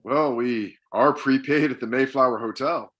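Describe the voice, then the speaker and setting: A man's voice: medium pitch, normal speed, fairly bright tone, close to an everyday voice. A man playing an exasperated middle-aged dad with a dry, sarcastic manner in a comedy table read. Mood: dry, grumbling.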